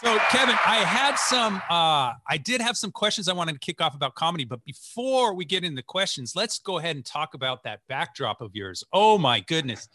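A man's voice: a little high, expressive, fairly quick, few pauses, pushed and bright. A man in his forties hosting a podcast, chatting enthusiastically with a guest over a video call. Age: 40s. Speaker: podcast host. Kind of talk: casual interview chat.

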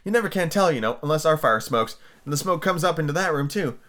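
A man's voice: a little high, fast, voice pushed up. A man voicing a prim, chatty little girl who scolds and lectures her kitten, in a raised sing-song voice. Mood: musing. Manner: fussy, lecturing, lively and whimsical. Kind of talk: amateur reading of a children's book.

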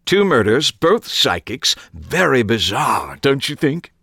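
A man's voice: medium pitch, big pitch swings, slow pace, vocal fry, sharp tone, husky, no echo, clean studio sound, voice pushed up, full character voice. A man voicing a flamboyant, eccentric male writer in an audiobook, drawing out his words theatrically.

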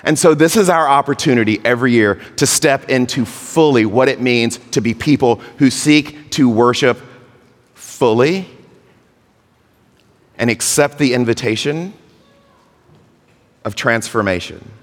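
Slow-speaking pastor in a reverberant hall. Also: male voice, mid-range voice. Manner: slow, earnest preaching with drawn-out phrases. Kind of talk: sermon. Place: church sanctuary.

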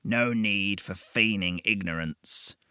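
A man voicing a ragged old devil who speaks slowly and mockingly. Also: on the low side, bright, forceful tone, clean tone, no echo, clean studio sound, full character voice. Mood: sly menacing. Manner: slow, purring, mocking.

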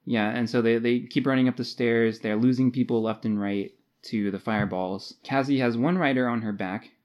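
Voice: medium pitch, fairly even intonation, moderate pace, a little mellow, dull audio. A podcast co-host chatting casually about books, retelling scenes in informal, slangy language. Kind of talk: casual book discussion.

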